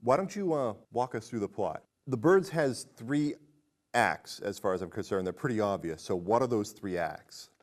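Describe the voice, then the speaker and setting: A man's voice: on the low side, expressive, normal speed. A man hosting a casual film discussion in a chatty, jokey way. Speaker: podcast host.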